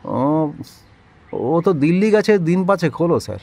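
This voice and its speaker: Shifty, lively-pitched gang boss. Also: male voice, unhurried, creaky, exaggerated voice. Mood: nervous, evasive. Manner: stammering, deferential, evasive. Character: nervous, fawning male loan-recovery gang boss. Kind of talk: audio drama acting.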